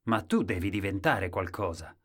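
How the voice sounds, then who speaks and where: A man's voice: low-pitched, lively intonation, moderate pace. A man voicing a lofty, instructive king in a storybook tale, speaking with emphatic authority. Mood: commanding.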